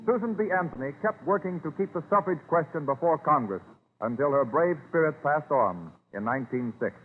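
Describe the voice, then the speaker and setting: A man with a slightly high voice reads scripted narration in formal 1930s radio style. The recording is thin and phone-like, with music clearly behind him. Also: lively intonation, fairly quick. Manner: formal, declarative.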